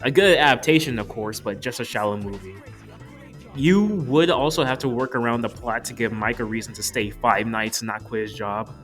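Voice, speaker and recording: A man's voice: mid-range voice, moderate pace, fairly bright tone. A young man giving a casual, scripted movie review commentary with a joking, casually profane manner. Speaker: video reviewer. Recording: music playing behind the voice. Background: background music.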